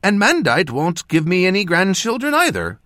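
Male narrator putting on a high, excited older mother figure, effusive, dry close sound. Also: normal speed, no echo, full character voice. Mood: gushing. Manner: effusive, exclaiming. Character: warm gushing elderly farm mother.

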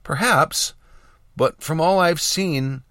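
A man's voice: fairly high pitch, slow, bright, forceful tone, dry recording, studio quality, voice pushed up. A man voicing an earnest, loyal young apprentice girl in a fantasy audiobook, with an eager, sincere manner. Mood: earnest, admiring.

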